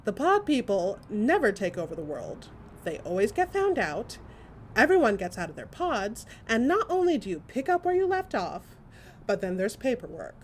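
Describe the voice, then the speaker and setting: Woman acting excitable gossipy friend, very animated swooping delivery, theatrical emphasis, comic audio drama monologue, noisy ambience behind. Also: medium pitch, normal speed, close to an everyday voice. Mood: weary, opinionated. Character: chatty, excitable woman gossiping to a dear friend, supernatural comedy.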